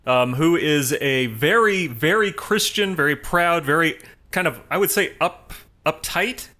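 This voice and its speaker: A man co-hosting a casual film discussion, explaining things in a halting, rambling way. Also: a little high, animated, bright, forceful tone. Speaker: podcast co-host giving a film rundown.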